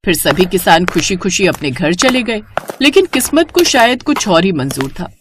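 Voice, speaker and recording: Woman, scripted story narration, very varied intonation, expressive, slight noise behind. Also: lowish voice, normal speed.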